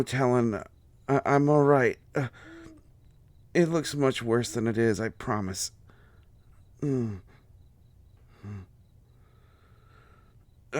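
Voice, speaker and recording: Man acting a slow, halting, hurt bookish gentleman. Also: mid-range voice, no room sound, lightly acted. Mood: pained, reassuring. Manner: halting, pained, fussy wordy phrasing. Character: bookish injured man, cranky yet gentle with friends. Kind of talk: audio roleplay acting.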